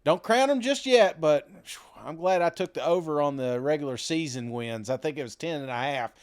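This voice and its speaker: A man's voice: on the high side, animated, fairly quick. A man co-hosting a casual sports podcast, talking in a folksy, rambling, self-deprecating way. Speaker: podcast co-host.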